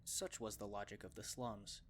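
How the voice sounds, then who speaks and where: A man's voice: on the low side, steady tone, moderate pace, slight background noise. A man narrating a scripted fantasy story for an anime fan dub.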